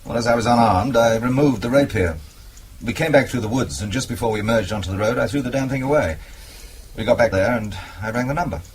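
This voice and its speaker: An actor playing a resourceful, wry British husband turned amateur sleuth in a radio drama, speaking in a dry, clipped way. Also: male voice, mid-range voice, fast, slight background noise, close to an everyday voice. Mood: wry, indignant.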